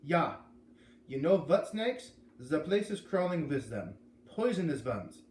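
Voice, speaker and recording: A man's voice: fairly high pitch, sing-song, slow, darkish tone. A man voicing an angry, blustering dog trainer with a put-on German accent and broken English, in a read-aloud storybook. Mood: furious, accusing. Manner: emphatic, blustering. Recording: echoey room, faint background noise.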